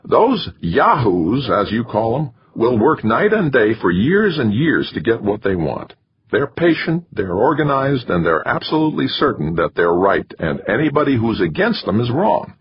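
A wiry, edgy campaign manager, put on by a male narrator, with a thin, scratchy voice pitched well above the narrator's own. He pushes and insists, his tone leaping around as he argues. The audiobook recording sounds dull and lo-fi. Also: very animated, unhurried, slightly rough, exaggerated voice. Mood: insistent. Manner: pushy, urgent persuading.

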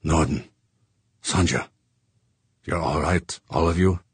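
A man's voice: very deep voice, steady tone, slow pace, slightly rough, no echo, heavily acted. A man voicing a weary male captain who speaks in a subdued, hesitant way. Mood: stunned.

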